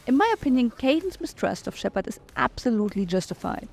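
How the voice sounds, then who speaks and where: A woman's voice: a little high, big pitch swings, quick pace, creaky, a little mellow. A woman giving her opinions in commentary on a video game playthrough.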